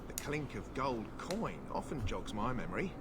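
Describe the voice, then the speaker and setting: An actor playing a falsely polite, sly and corruptible harbour assistant in a video game. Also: male voice, medium pitch, sing-song, normal speed, crowd noise, natural voice. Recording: game audio with loud background noise.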